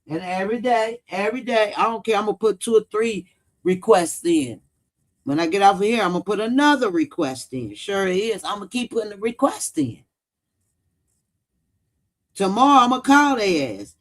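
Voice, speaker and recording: A man's voice: high-pitched, animated, moderate pace. A man hosts a casual livestream. He talks bluntly, cusses freely and hammers points by repeating them, often answering viewers as he goes. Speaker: true-crime commentary livestream host.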